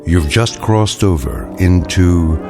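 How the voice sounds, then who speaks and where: A male actor playing a grave, measured narrator of an eerie radio anthology, solemn and portentous. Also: sits low, animated. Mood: ominous. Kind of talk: dramatic scripted radio narration. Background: music.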